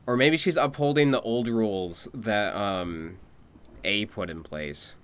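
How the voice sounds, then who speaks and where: A man's voice: on the low side, unhurried, clear, resonant tone. A man streaming a video game, giving casual live commentary and musing aloud. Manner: muses aloud, repeats phrases, casual swearing.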